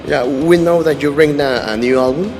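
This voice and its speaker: A man hosting an interview and asking questions in halting, hesitant English. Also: a little high, music in the background. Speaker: interviewer host.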